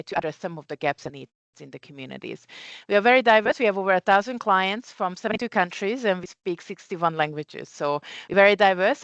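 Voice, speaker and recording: Woman with a Hungarian accent, lower voice, earnest guest speaker; muffled, dry video-call sound. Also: dry recording. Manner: earnest, with restarts and fillers. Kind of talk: webinar presentation. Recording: muffled, lo-fi webinar audio.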